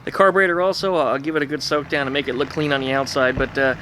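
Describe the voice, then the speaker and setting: A man at an everyday middle pitch talks easily while he shows off his engine work outside his garage. His delivery is loose and conversational, full of little fillers. A faint wash of outdoor noise sits behind him. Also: very fast. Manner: relaxed, chatty, with frequent fillers. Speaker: garage vlogger restoring a car. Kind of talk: casual workshop vlog.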